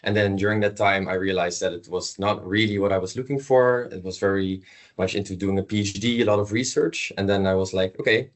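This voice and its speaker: A man, a graduating student talking in a relaxed, casual way. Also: low-pitched, brisk. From Netherlands.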